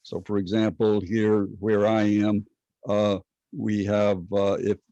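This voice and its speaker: An older man teaching an online class from a home study, speaking in a measured, halting way with many fillers, his voice a bit hoarse. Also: fairly low pitch, little pitch movement, dark tone, dry recording. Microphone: laptop webcam video call. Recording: dull lo-fi video call audio.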